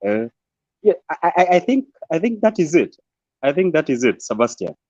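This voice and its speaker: A man's voice: fairly high pitch, big pitch swings, moderate pace, a little mellow, no room sound. Earnest man on a muffled call. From Uganda. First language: Ateso and Swahili. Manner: earnest, repeats words as he builds a point. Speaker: panel guest. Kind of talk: online panel discussion.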